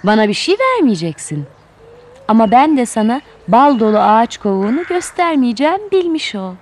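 A woman's voice: a little high, sing-song, fairly quick, a little mellow, slight background noise. A woman voicing a cunning, boastful fox trickster in a children's story, sly, condescending and persuasive. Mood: slyly bargaining.

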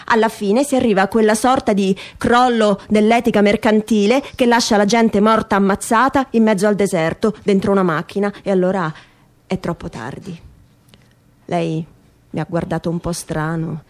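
A woman's voice: medium pitch, steady tone, moderate pace, natural voice. Woman acting aging male sheriff, brooding, world-weary, plain-spoken, reflective radio-theatre storytelling. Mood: troubled, musing. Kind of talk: theatrical monologue reading.

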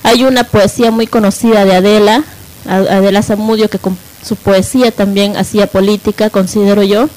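A woman's voice: medium pitch, fairly even intonation, a little fast, darkish tone, rough recording. A woman in an informal radio discussion, explaining things and often checking for agreement. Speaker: radio panelist.

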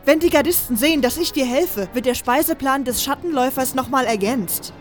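Girl acting as bossy impatient young woman, high voice, snappy and scolding, music behind, fan audio drama. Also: brisk, lightly acted. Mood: brusque, teasing. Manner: brusque, scolding, commanding.

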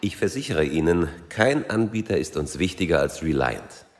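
A man acting a slick young Saudi official in a German radio drama, polite, formal and smoothly evasive. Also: low-pitched, sing-song, normal speed. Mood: smoothly courteous. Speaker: actor in a radio play.

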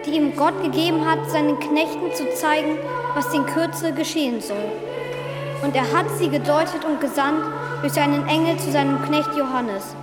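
An actress playing a solemn reader of apocalyptic scripture in a radio drama. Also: female voice, high voice, normal speed, close to an everyday voice. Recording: long echoing reverb, loud music.

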